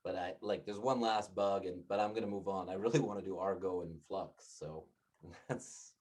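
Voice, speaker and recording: Low-voiced man in a casual work call. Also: steady tone, normal speed, darkish tone, husky. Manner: rambling, self-interrupting, laughs often. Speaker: meeting participant. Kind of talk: casual work meeting chat. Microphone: laptop speaker mic on video call.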